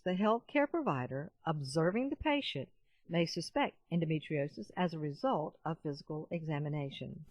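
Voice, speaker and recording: A woman reading nonfiction audiobook narration in a measured, carefully enunciated way. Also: on the low side, no echo. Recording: dull, muffled lo-fi voice-over.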